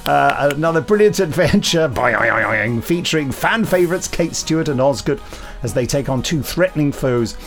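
A man's voice: a little high, expressive, moderate pace, a bit bright, soft background music. A man co-hosting a podcast in a chatty, wry, self-deprecating and rambling way. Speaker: podcast co-host. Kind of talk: chatty, semi-scripted podcast presenting.

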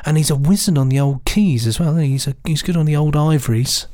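A man's voice: fairly high pitch, fast, dark tone, dry recording, studio quality. A man co-hosting a podcast and working as a sound designer, chatting enthusiastically and full of praise. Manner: enthusiastic, effusive. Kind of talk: casual chat.

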